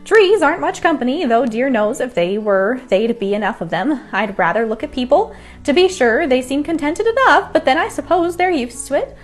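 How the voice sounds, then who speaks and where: A woman's voice: high voice, sing-song, normal speed, faint music behind, voice pushed up. A woman voicing a nosy, opinionated country housewife in a storybook, with an emphatic, chatty, folksy manner. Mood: musing, disapproving. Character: nosy, opinionated middle-aged country housewife, puzzled and judging.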